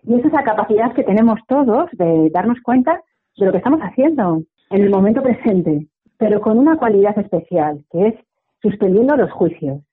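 A woman's voice: medium pitch, animated, fairly quick, breathy edge. A woman from explains things reflectively as an interview guest, often checking for agreement at the end of phrases. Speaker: mindfulness teacher, interview guest. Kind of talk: radio interview, explanatory conversation. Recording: dull lo-fi radio sound.

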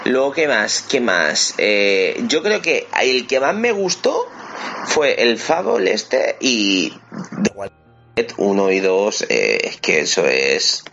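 A man hosting a casual video game podcast, talking haltingly and often repeating his words. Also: on the low side, huge pitch swings, gravelly, bright, forceful tone. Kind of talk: casual chat. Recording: dull lo-fi mic, faint noise.

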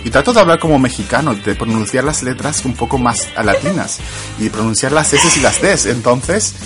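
A man with a Chilean accent co-hosting a casual radio chat, talking in relaxed slang and often laughing. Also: mid-range voice, fairly quick, over loud music. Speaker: radio co-host.

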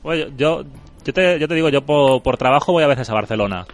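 A man's voice: medium pitch, expressive, brisk. Rambling male podcast host. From Andalusia, Spain. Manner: pragmatic, rambling, restarts often. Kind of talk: casual podcast chat.

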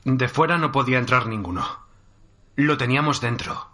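A man's voice: mid-range voice, moderate pace, bright, forceful tone, close to an everyday voice. An actor playing an agitated, commanding male survivor recounting a siege in a radio drama. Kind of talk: radio drama, testimony narration.

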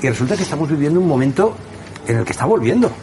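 A man's voice: a little high, sing-song, rapid-fire. A man with an accent from Spain, hosting a radio show and interviewing guests in a casual, conversational style.

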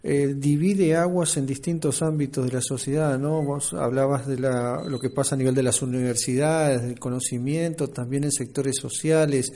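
A Uruguayan man with a dark, mellow voice of middling pitch conducts a radio interview. He builds long, winding questions as he goes. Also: steady tone, moderate pace, hardly any pauses. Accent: Uruguayan Spanish.

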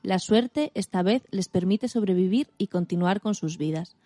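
A woman's voice: mid-range voice, normal speed, mellow, dry recording. A woman narrating a scripted story summary.

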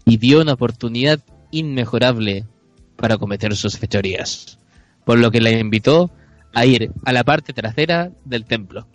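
A man's voice: mid-range voice, normal speed, dry recording. Chilean man narrating, with faint music behind. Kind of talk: mock-serious storytelling in improvised radio play.

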